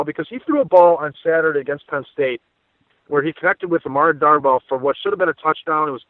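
Male sports guest, opinionated and conversational, brisk delivery, thin telephone-band sound. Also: fairly high pitch, no echo. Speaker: sports radio analyst and guest. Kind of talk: sports podcast interview commentary. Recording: dry, phone-like narrow band.

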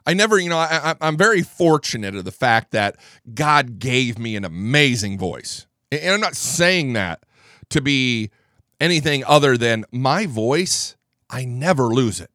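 Rambling gravel-voiced male talk host. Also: medium pitch, big pitch swings, unhurried, sharp tone, dry recording, clean studio sound. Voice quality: strong voice, gravelly when tired. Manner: rambling, stammering restarts, blunt. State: under the weather, low energy. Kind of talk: casual political talk show monologue. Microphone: studio microphone.

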